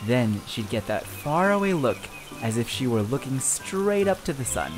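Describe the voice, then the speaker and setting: A narrator reading a children's storybook aloud in an expressive, dramatic and deliberate storytelling style. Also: mid-range voice, poor audio. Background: music.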